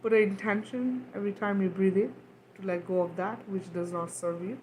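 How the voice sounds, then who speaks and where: A woman's voice: mid-range voice, fairly even intonation, fairly quick, darkish tone, slight background noise, rough recording. A woman who grew up in Kenya, leading a livestream talk and guided healing in a loose, repetitive way, often checking in with "right?". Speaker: spiritual healer on a livestream.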